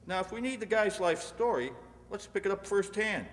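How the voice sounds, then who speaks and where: A man playing a practical, cautious police detective in a radio crime drama, brisk and businesslike, in an echoey hall. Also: sits high, expressive. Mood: businesslike, doubtful.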